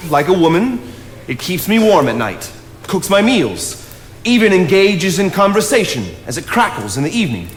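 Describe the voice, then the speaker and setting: In a noisy, reverberant theatre, a male stage actor plays a solitary nature philosopher. His higher-than-average male voice is earnest and dreamy, musing in a declamatory way as if to himself. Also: sing-song, moderate pace. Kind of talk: theatrical stage play acting.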